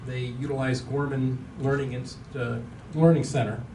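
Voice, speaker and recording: A man's voice: mid-range voice, flat delivery, moderate pace. Man, fifties, from Maryland, chatty, self-deprecating, roomy distant sound. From Maryland, United States. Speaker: class member. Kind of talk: casual conversation. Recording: echoey room, distant mic, noise.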